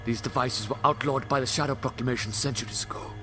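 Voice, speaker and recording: A man's voice: medium pitch, steady tone, quick pace, slight background noise, close to an everyday voice. A man playing an indignant, grave alien time traveller in an impassioned, declamatory audio drama style. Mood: grave warning. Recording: fan audio play under loud music.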